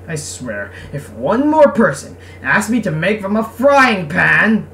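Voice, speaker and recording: A man's voice: high-pitched, very animated, slow, gravelly, fairly bright tone, reverberant, far from the mic, a little hiss or hum, exaggerated voice. A man voicing a struggling, sarcastic young male craftsman in a visual novel game. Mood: weary grumbling. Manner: weary, sarcastic grumbling. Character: grumpy, struggling young male craftsman, laid-back at heart.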